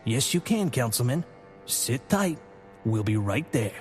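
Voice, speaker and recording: An actor playing a grim, no-nonsense police commissioner in an audio drama, terse and serious. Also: male voice, mid-range voice, sing-song, a little slow, faint music behind. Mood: firm.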